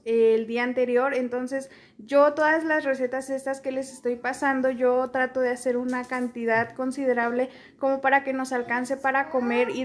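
A young woman with a Mexican accent, chatting casually to camera at home about her meal planning, with lots of fillers and restarts. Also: high voice. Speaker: cooking vlogger. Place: small room at home, indoors.

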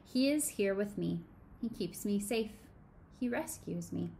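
Woman reading a children's story gently. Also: medium pitch, expressive, a little slow, vocal fry, a little hiss or hum. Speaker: children's sermon co-leader. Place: small home room.

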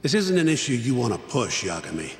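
An actor playing a jaded, exasperated middle-aged lawyer in a video game, blunt and world-weary in manner. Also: male voice, mid-range voice, sing-song, fairly quick. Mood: matter-of-fact. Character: jaded, exasperated older male defense lawyer. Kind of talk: video game cutscene acting. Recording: echoey hall reverb, music behind.

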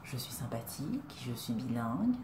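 A young woman from Congo talking to her webcam in a small room, earnest and reflective, pausing often and asking rhetorical questions. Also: a bass voice, big pitch swings, darkish tone, reverberant, slight background noise. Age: young adult. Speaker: vlogger. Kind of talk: personal talk to camera. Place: indoors, small room, plain wall. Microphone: webcam at desk distance.

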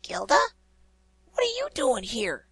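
A man voicing a brash, hot-tempered young female pegasus who shouts her lines in a fan audiobook. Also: very high-pitched, huge pitch swings, unhurried, gravelly, husky, no room sound, full character voice. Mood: confused. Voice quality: loud, shouting.

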